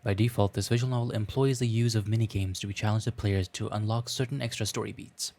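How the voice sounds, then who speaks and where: A man's voice: lowish voice, fairly even intonation, fast talker, a little mellow. A man hosting a game playthrough, reading a visual novel aloud with wry, self-deprecating casual commentary and a slightly scratchy throat. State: scratchy throat, frequent throat clearing. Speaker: let's play host. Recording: music playing behind the voice. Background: background music.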